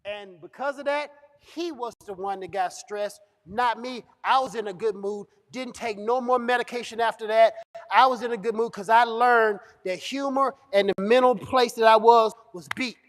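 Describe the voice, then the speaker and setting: A very high-voiced man tells comic stories from a stage in a big reverberant hall. He is animated and acts out both sides of conversations as he goes. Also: normal speed. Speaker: guest speaker at a fundraising event. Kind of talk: humorous storytelling on stage. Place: large event hall before live audience. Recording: echoey hall PA sound.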